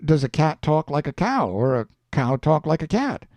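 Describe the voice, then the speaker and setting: Man playing a folksy Southern boy, mellow. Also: on the high side, sing-song, normal speed, no echo. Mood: reasoning. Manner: folksy, explaining, questioning. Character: adolescent boy Huck, plain-spoken, patient reasoner.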